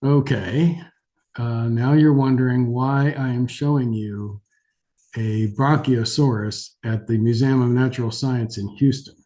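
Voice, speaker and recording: Mellow, scratchy-voiced man lecturing with a cold. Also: medium pitch, steady tone, a little slow. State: has a cold. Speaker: geriatric physician giving a lecture. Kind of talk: webinar lecture, conversational.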